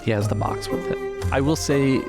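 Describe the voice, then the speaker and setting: A man's voice: medium pitch, normal speed. A man narrating scenes as game master of a tabletop roleplaying game. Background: music.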